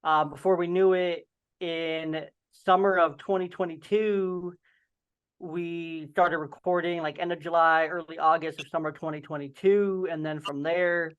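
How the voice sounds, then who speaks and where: Young male podcaster, slight lisp, smooth fairly high voice, rambling casual delivery, dull call audio. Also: steady tone, measured pace, a bit bright. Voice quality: slight lisp and occasional stutter. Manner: rambling, filler-heavy storytelling. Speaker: podcast host and guest. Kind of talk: casual video-call interview. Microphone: video call.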